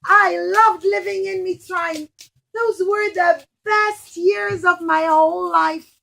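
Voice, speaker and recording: A woman puts on a very old, gentle patriarch for a children's puppet show. The voice is high and bright, with slow, deliberate, simple storybook phrasing and a contented temper. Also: steady tone, gravelly, exaggerated voice. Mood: fond, contented.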